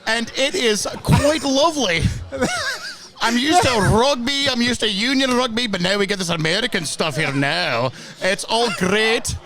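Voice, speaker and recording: A man's voice: sits high, expressive, a little slow, sharp tone, voice pushed up, exaggerated voice. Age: adult. Sex: man. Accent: put-on Scottish. Figure: a boisterous Glasgow football fan, rambling and jokey. Acting: 5. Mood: boastful. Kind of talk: casual banter while watching a game.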